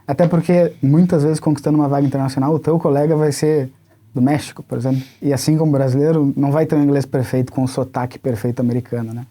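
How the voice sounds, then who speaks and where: A man's voice: mid-range voice, steady tone, mellow. A man with a Brazilian accent hosting a casual panel, moderating and asking questions. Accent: Brazilian Portuguese. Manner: quick, chatty, moderating. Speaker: host.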